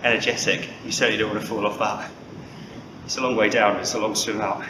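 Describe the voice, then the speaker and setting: A man's voice: on the low side, little pitch movement, quick pace. A man, a cave diver, describing his position from inside a cave. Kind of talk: on-site commentary. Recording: echoey cave with loud noise.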